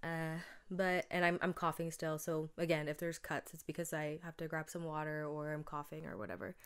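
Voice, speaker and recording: A young woman with a low, smooth voice that sounds stuffed up from a cold. She hosts her own podcast in a loose, rambling chat, leaning on "like" and "um" as she goes. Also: fairly even intonation, fairly quick. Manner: chatty, lots of "like" and "um". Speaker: podcast host. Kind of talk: casual solo podcast monologue.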